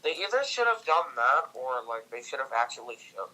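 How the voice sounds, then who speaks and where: Man, very bright sharp voice, lively pitch swings, argumentative casual co-reviewer. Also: fairly quick, low-quality recording. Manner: argumentative, contradicting. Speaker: guest co-reviewer. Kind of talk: casual movie debate.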